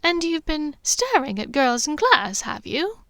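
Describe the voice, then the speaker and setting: A bright, indignant young woman pitches up high and squeaks out her protests, as a woman narrator puts her on. The sound is dry and close to the mic. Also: a little slow, dry recording, heavily acted. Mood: lively. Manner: high, lively, protesting with a laugh.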